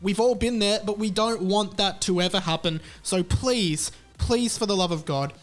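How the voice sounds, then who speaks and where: A man with a notably high voice talks like a casual podcast host. His delivery is frank and informal, and he likes to hammer a point by repeating it. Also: moderate pace, faint music behind. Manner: informal, frank, emphatic repetition. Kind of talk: casual podcast talk and chatty ad read.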